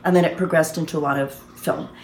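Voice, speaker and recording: A woman's voice: sits low, fairly even intonation, quick pace. A middle-aged or older woman, a Foley artist and teacher, explaining her work in an interview. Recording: echoey, faintly noisy room.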